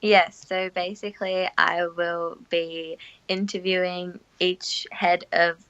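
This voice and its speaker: A young woman talks in an upbeat, chatty way, laughing easily. Her low voice has a gravelly, crisp edge and sounds dry and close. The call audio is dull and lo-fi. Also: flat delivery, takes it slowly, fairly bright tone, dry recording. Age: young adult. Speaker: student guest on internship abroad. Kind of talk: casual interview over video call. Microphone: video call.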